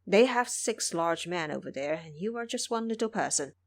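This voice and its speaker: Woman doing timid male monk, soft-spoken, fretful, gently scolding, audio drama. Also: fairly low pitch, animated, normal speed. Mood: worried, scolding.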